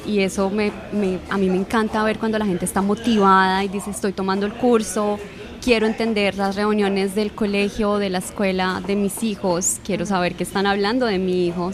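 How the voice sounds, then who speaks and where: A woman's voice: mid-range voice, flat delivery, moderate pace. Earnest woman over a chattering crowd. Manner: earnest, warm encouragement. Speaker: language exchange organizer. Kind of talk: casual interview chat.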